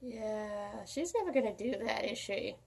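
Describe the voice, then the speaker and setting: A woman's voice: fairly high pitch, lively intonation, unhurried, gravelly, a little mellow. A woman voicing a bossy, snarky puppet critic, recapping a film in a snappy, sarcastic way. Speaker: puppet show reviewer. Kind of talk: comic movie recap.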